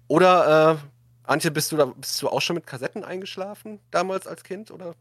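A man's voice: on the high side, normal speed, a bit bright, no echo. Casual male host, dry close sound. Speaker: podcast and radio show host. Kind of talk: casual chat, moderating a discussion.

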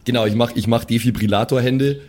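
A man's voice: medium pitch, steady tone, quick pace, a little mellow, a little hiss or hum. A man talking casually as a player in an improvised tabletop game, working out moves aloud.

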